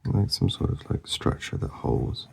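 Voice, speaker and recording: A man talking casually as he works through a drawing, mumbling and rambling in a soft voice that often drops to a whisper, and he is losing his voice. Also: measured pace. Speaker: artist demonstrating a drawing.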